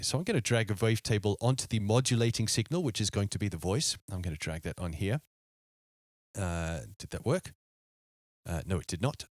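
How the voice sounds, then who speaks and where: A man's voice: mid-range voice, no echo, clean studio sound. A man hosting a music production tutorial, explaining step by step in an informal way and thinking aloud.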